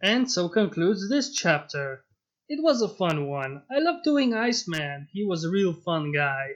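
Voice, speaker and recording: A man's voice: high voice, big pitch swings, a little slow. A man reading fan fiction aloud as the narrator. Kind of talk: story narration. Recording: muffled mic with music behind. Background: music.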